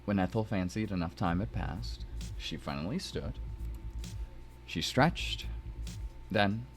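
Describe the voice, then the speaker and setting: A man's voice: deep voice, slow pace, soft background music. A man streaming, reading a story aloud and breaking off for casual asides. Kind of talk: scripted narration with casual asides.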